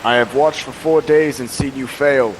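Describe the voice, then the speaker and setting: A young man playing a devout old priest in a radio drama, grave, measured and pious. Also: medium pitch, steady tone, background noise, lightly acted. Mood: calm resolve. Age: young adult. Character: devout old priest, calm and self-sacrificing.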